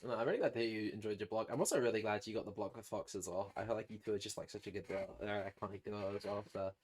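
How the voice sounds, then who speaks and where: A man's voice: fairly low pitch, steady tone, very fast, a little mellow, a little hiss or hum. A man chatting casually as an esports commentator, telling stories in slangy, informal language. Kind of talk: casual chat about commentating.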